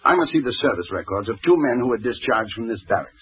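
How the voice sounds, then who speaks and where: A man's voice: mid-range voice, lively intonation, quick pace, close to an everyday voice. An actor playing a terse, urgent male police inspector in 1940s radio crime drama, clipped and dramatic. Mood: impatient, urgent. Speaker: narrator and lead actor. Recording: muffled old radio recording with music.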